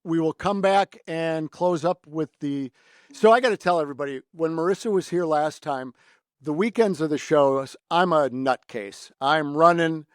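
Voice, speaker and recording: A man's voice: fairly high pitch, moderate pace, dry recording, clean studio sound. An American man hosting a casual podcast interview in a chatty, informal, effusive way. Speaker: podcast host.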